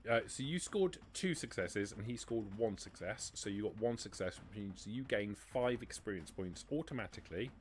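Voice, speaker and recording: A man's voice: fairly low pitch, expressive, normal speed, few pauses, a little hiss or hum. A man running a tabletop roleplaying game as game master, patiently explaining rules and narrating scenes.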